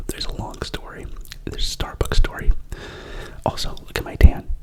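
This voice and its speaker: Whispering man, ASMR unboxing host. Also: normal speed. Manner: rambling, chatty whisper with self-corrections. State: sick with a cough.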